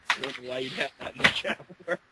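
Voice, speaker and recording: A man's voice: fairly high pitch, heavy background noise. A man co-hosting an interview who keeps breaking into giggles, a bit under the weather. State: a bit sick.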